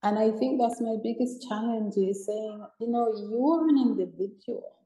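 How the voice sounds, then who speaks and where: Earnest Jamaican-born woman, mellow voice. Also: a little high, normal speed, echoey room, low-quality recording. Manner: earnest, repeats herself, fillers like "you know". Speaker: guest, women's mentor. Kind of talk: conversational interview.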